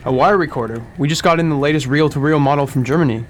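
Man acting fast-talking patronizing shop clerk. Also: a little high, a little hiss or hum, natural voice. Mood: eager, condescending. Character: chatty, patronizing 1920s electrical shop clerk. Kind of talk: old-time radio drama acting.

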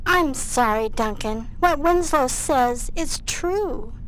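An actress playing a smooth, controlling university dean in an audio drama, measured and placating. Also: female voice, sits high, expressive, fairly bright tone, a little hiss or hum, exaggerated voice, low-quality recording. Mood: serene, placating. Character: female university dean, smooth, controlling, eerily serene overseer.

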